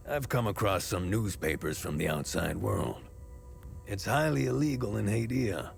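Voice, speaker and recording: A man's voice: on the low side, creaky. An actor playing a weary, bitter, devout middle-aged man in a war-torn country, in grim video game dialogue. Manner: grim, world-weary, deliberate.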